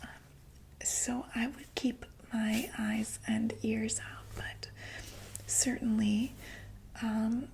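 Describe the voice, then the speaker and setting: A woman whispering breathily in an ASMR medical roleplay, explaining things as a calm doctor in a gentle, hesitant, clinical way. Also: on the high side, very slow, slight background noise, rough recording. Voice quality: breathy, mostly unvoiced whisper. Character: calm, attentive doctor to monsters.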